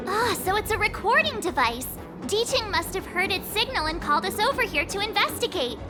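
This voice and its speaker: Very high-pitched, chatty sweet girl. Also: sing-song, a little fast, over music. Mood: curious, thoughtful. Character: sweet, chatty, cheerful young girl who loves cute animals. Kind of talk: video game character voice acting.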